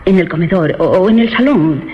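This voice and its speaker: Actress as a jittery young woman, animated, Spanish accent. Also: fairly low pitch, big-room sound, slight background noise, natural voice. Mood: nervous, suspicious. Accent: Spain. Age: young adult. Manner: nervous, halting repetitions. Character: uneasy, suspicious young call girl, brisk and superstitious. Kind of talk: suspense radio drama acting. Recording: dull lo-fi radio drama, music behind.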